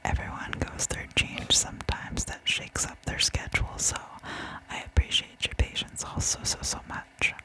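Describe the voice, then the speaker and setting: A woman whispering true crime ASMR as a host, breathy and gentle, speaking informally to her listeners. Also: normal speed, rough recording.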